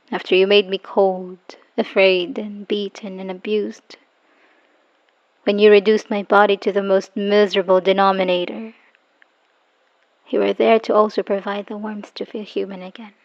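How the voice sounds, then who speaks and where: A slightly muffled, lo-fi recording of an actress playing a woman worn down by an abusive, obsessive partner. Her voice sits at an average pitch for a woman. She delivers a dramatic audio roleplay monologue, wounded and bitter, with emotional, halting phrasing. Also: close to an everyday voice. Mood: sad, resigned. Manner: emotional, halting, sighing, dropping into whispers. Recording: dull lo-fi voice recording.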